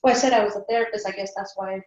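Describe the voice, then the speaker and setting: A woman's voice: mid-range voice, steady tone, very fast, darkish tone. A native Spanish-speaking woman with a Spanish accent, hesitantly practicing her introduction as a workshop participant. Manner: hesitant, apologetic. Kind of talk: practicing a spoken self-introduction. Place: indoor echoey room. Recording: roomy, distant, dull microphone.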